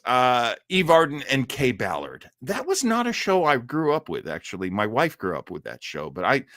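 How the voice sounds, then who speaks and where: Casual man host with animated, swinging pitch. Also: no echo, studio quality. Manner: rambling, enthusiastic asides with frequent hesitations. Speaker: live-stream host of an old-time radio show. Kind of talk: casual chat, reading and answering viewer comments.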